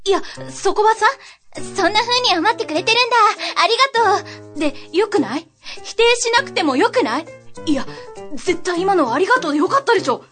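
A trainee voice actress playing an exasperated but affectionate young woman in a conversational radio drama, earnest and quick to retort. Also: very high voice, lively intonation, fairly bright tone, faint music behind. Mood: indignant.

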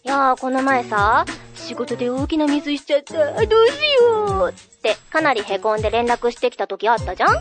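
A woman's voice: high voice, fairly quick, few pauses, music in the background. A trainee voice actress playing a cheeky, self-important but caring young woman, the best friend in a radio drama. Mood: caring, earnest. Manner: playful teasing, expressive. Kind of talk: acted radio drama dialogue.

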